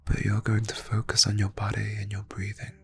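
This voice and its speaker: A man leading an ASMR guided meditation in a soft, breathy near-whisper, phrasing slowly and soothingly with long pauses. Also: fairly low pitch, monotone. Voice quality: breathy, hushed.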